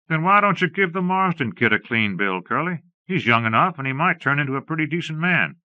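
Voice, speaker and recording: A man's voice: fairly high pitch, animated, brisk, no echo, muffled recording, voice pushed up, close to an everyday voice. A man voicing a watchful, fair-minded Texas Ranger in a western audiobook, speaking in terse commands. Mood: firm, persuading. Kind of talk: western audiobook character acting.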